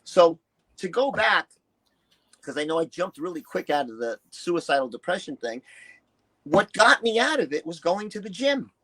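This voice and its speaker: Blunt, higher-pitched New man in his sixties. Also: sing-song, normal speed, pushed and bright, poor audio. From New York City, United States. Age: early 60s. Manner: blunt, profane, emphatic, acts out quoted dialogue. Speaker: interview guest, retired musician and bodybuilder. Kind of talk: candid personal storytelling in an interview.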